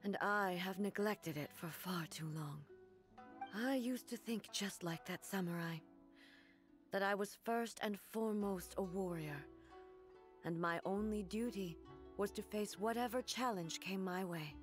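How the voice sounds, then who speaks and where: An actress voicing a solemn, regal female god-ruler in a game, speaking in a measured, formal, grave way. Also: mid-range voice, faint music behind, natural voice. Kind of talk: game voice acting.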